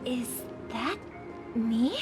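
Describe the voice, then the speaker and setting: An actress playing a compassionate, composed young woman in a video game, speaking in a gentle, poised and formal manner. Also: on the high side, expressive, very slow, loud music behind the voice. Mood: composed, firm. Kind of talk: scripted game dialogue.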